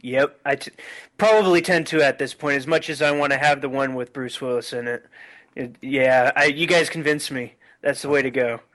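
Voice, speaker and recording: Man, podcast guest, middle-pitched voice, casual chat, conversational delivery. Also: moderate pace, fairly bright tone.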